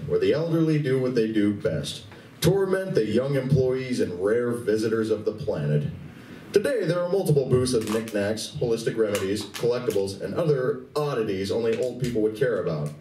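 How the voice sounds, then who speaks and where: A man playing the wry, measured narrator of a comic science-fiction radio play, performed live. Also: mid-range voice, dark tone, close to an everyday voice. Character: wry omniscient narrator of a sci-fi comedy play. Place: convention event room. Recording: echoey distant live room, faint noise.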